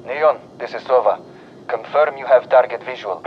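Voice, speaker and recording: An actor playing a calm, determined recon scout in a video game, making measured, terse reports over radio. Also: male voice, medium pitch, reverberant, in a large hall. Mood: calm, intent. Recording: muffled radio with noisy ambience.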